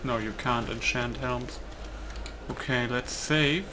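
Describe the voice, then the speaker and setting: A man's voice: mid-range voice, slow pace. Casual gamer man over noisy background. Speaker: gamer, video host. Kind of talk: casual let's play commentary. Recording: clear background game noise.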